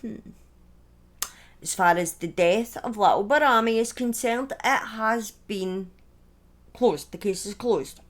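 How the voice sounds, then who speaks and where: A woman telling a true-crime story in a conversational, partly scripted way, with informal asides, opinions and halting phrasing. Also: medium pitch.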